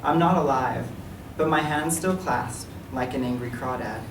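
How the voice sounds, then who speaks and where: A man reading his own writing aloud at a library event, in a measured, even delivery. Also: medium pitch. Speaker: writer reading his work. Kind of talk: literary reading. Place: library meeting room. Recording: echoey room, distant mic, some noise.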